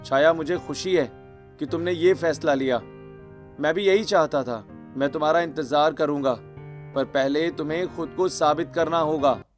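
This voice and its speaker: A man voicing a responsible, gently reproving young husband in a cartoon story. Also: a little high, moderate pace, faint music behind, lightly acted. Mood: reassuring. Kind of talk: Hindi cartoon story dialogue acting.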